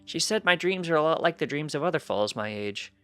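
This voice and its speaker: A man plays a precocious little pony boy from a storybook, pitched high. He is cheerful and earnest in a childlike way, with music playing behind him. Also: animated, a little fast, a bit bright, smooth. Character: small precocious winged-unicorn colt, cheerful and earnest.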